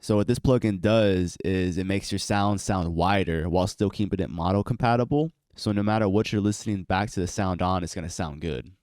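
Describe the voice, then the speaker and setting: A man's voice: deep voice, a little fast, hardly any pauses, a little mellow, dry recording. A young man with a stuffy, congested voice from allergies, casually walking through how he produced a beat in a home studio. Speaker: beat producer and channel host. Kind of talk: casual tutorial walkthrough.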